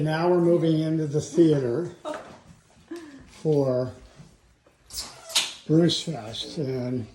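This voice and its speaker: A grandfather in his seventies, casually showing his home music memorabilia in a darkened room, fond and unhurried. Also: mid-range voice, dark tone, roomy sound, a little hiss or hum. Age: 70s. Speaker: grandfather hosting a family music event. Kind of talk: casual home video tour.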